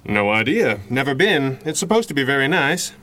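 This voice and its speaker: A suave, evasive gentleman banters with lively intonation. He is wry and drily amused, in the manner of a 1930s radio serial hero off duty. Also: mid-range voice, moderate pace. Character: suave, evasive, drily amused billionaire husband and secret adventurer.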